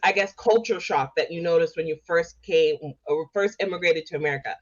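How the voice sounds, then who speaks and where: A woman's voice: on the low side, brisk. Canadian woman hosting, muffled lo-fi sound. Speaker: podcast host. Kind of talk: conversational podcast interview. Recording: dull lo-fi podcast audio.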